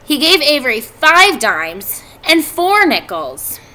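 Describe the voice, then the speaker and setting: A woman in her thirties, a teacher reading a storybook aloud to pupils, expressive and clearly phrased. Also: sits high, slow. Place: indoors at home by a fireplace.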